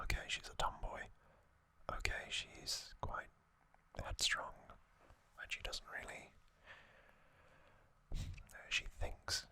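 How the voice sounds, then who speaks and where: A man whispers at an unhurried pace in an ASMR style. His breathy, unvoiced voice is very crisp and sibilantly bright, staying even throughout. He speaks off the cuff, pausing thoughtfully as he goes. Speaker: ASMR host. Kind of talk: ASMR, unscripted whispered commentary.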